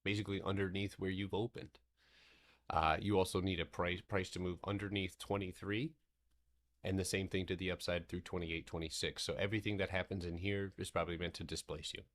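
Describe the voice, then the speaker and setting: A man hosting a casual livestream market recap. He talks in a loose, rambling way, swears casually, and slows into a steady explanatory tone when walking through charts. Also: low voice. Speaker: stock trading streamer.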